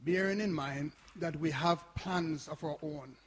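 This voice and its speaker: An actor playing a weary older grandfather with a halting way of talking, in a live radio play. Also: a little high, crowd noise, natural voice. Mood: doubtful. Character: Arthur, worried, weary older grandfather, centre owner longing for Jamaica. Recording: reverberant hall.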